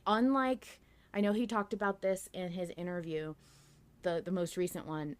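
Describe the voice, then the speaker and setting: Woman, lower register, casual podcast chat, dry studio-like sound. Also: fairly even intonation, normal speed, clear, resonant tone, no echo. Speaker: podcast co-host.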